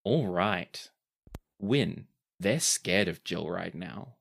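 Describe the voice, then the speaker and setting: A man's voice: sits low, lively intonation, extremely slow, vocal fry. A man acting as game master, improvising narration and rulings for a tabletop roleplaying game.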